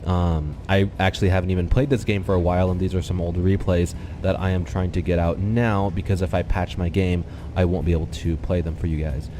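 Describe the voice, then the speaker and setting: Deep-voiced young man, congested and nasal. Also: steady tone, brisk, background noise. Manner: earnest, explanatory, talks straight to viewers. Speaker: gameplay commentator. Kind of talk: casual gameplay commentary. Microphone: desktop USB microphone.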